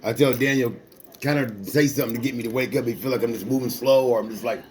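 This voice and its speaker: Boxer guy in his 40s, just chatting, street slang, keeps restarting and looping back, regular mid pitch. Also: quick pace. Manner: loose, repetitive, streetwise slang with frequent restarts. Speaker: amateur boxer. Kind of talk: casual rambling talk to a companion.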